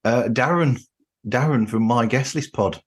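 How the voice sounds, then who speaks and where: A man's voice: mid-range voice, unhurried, breathy edge. A middle-aged British man chatting casually as a podcast host. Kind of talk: casual chat.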